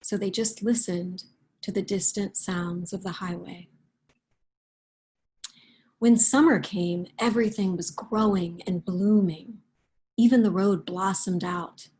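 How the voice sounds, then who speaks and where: A woman with a soft, breathy voice, speaking in a thoughtful, unhurried manner as a picture book author. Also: mid-range voice, fairly even intonation. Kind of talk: read-aloud storytelling and reflective interview talk.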